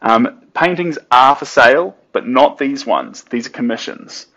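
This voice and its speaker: A young man, yarning to viewers while he paints. He talks slowly and chattily at a middling pitch. The sound is dull and a little lo-fi. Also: fairly bright tone. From New Zealand. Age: late 20s. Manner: chatty and upbeat, sings snatches of songs and talks to viewers. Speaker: artist streaming while painting. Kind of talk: casual live-stream chat while painting.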